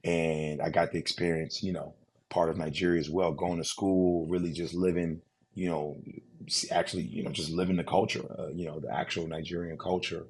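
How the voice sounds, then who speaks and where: A man's voice: sits low, little pitch movement, fairly quick, darkish tone, slightly rough. A man in his thirties, guest on a podcast interview, telling his story casually with many fillers and restarts. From United States. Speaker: guest, insurance agency owner.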